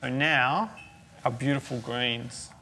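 Young male chef with gravelly voice. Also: medium pitch, fairly even intonation, takes it slowly, pushed and bright, a little hiss or hum, rough recording. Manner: explanatory, walks through each step. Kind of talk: cooking demonstration. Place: indoor kitchen.

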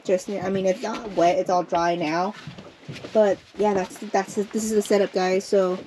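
A woman's voice: medium pitch, flat delivery, unhurried, dark tone, slight background noise, poor audio. A woman chatting casually as a vlogger, rambling and trailing off mid-sentence, outdoors on a boat on a lake, recorded on an action camera. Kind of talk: casual vlog narration. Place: outdoors on a boat on a lake, under a mesh tarp.

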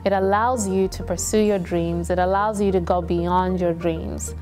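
Young woman, from Zambia, earnest interview, lowish voice, music behind. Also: steady tone, normal speed, darkish tone. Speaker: medical student researcher. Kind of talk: documentary interview.

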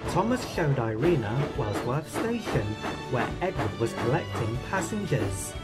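A woman narrating a children's train story in a calm storytelling way, with music underneath. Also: rumbling low, unhurried.

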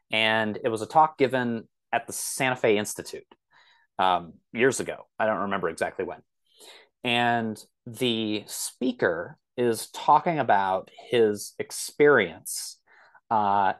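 A man's voice: lowish voice, fairly bright tone. A man in his thirties explaining things casually as a podcast guest, rambling and often correcting himself as he goes. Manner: rambling, self-correcting, hedging.

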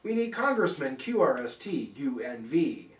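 A man's voice: medium pitch, sing-song, moderate pace, roomy sound, distant mic, natural voice. A man voicing eager, scheming little senators from a rhyming storybook, exclaiming in singsong. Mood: determined.

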